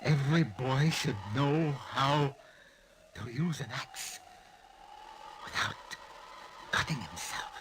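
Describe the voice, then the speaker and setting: A very noisy vintage radio drama recording carries an actor as a wounded husband, a bitter fumbler who is losing strength. His mid-pitched voice is halting, melodramatic and desperate. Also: close to an everyday voice. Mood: weak, bitter. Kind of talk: old-time radio drama acting.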